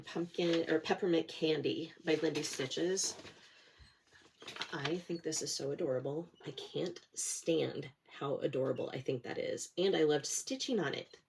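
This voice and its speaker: Woman, middle-aged, very low voice, nasal with a cold, chatty crafting vlog, faint hiss behind. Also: creaky. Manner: chatty and rambling, self-deprecating, many fillers. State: nasal from a cold, sore throat. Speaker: cross-stitch vlogger. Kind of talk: casual chat to camera.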